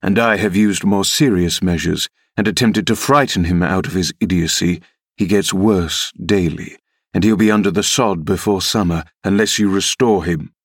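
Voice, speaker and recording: Menacing middle-aged gentleman for an audiobook, read by a man: commanding, sly, lowish pitch. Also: moderate pace, husky, dry recording, clean studio sound. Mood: threatening, mocking. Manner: mocking, commanding, insinuating. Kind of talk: audiobook character reading.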